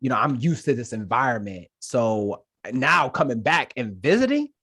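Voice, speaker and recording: A young man from Detroit, a podcast guest telling his story in casual, animated, slangy chat. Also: medium pitch, moderate pace, husky, no echo, clean studio sound. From Detroit, Michigan, USA. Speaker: podcast guest, Marine staff sergeant.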